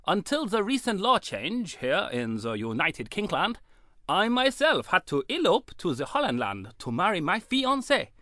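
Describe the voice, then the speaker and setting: A man's voice: sits high, sing-song, normal speed, sharp tone, no room sound, studio quality, exaggerated voice. A man playing an earnest guest author in a comedy radio play.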